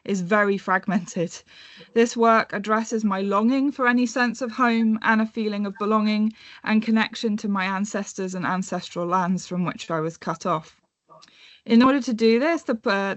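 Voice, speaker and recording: A woman in her thirties presents her work at a conference in a measured, reflective voice of middle pitch. She slows down when posing questions. The recording is dry and slightly dull. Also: no echo. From born in England, raised in North America and Europe. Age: 30s. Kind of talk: academic presentation.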